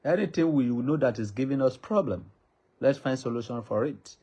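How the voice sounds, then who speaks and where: A man's voice: mid-range voice, lively intonation, normal speed, dark tone, slightly rough, highs cut off. A Nigerian man with a Yoruba accent, a native Yoruba speaker, makes an impassioned public appeal in a pleading, repetitive cadence like a preacher. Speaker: traditional ruler addressing the public.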